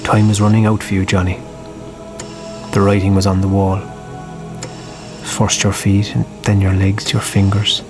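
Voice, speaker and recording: Flat, low, brooding writer over music. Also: male voice. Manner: somber, deliberate. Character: brooding male writer narrating a screenplay. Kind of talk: scripted radio drama monologue.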